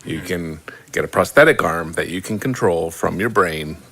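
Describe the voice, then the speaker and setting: A man's voice: low-pitched, lively intonation, normal speed, vocal fry, sharp tone, husky. A man casually explaining speculative ideas step by step to a group.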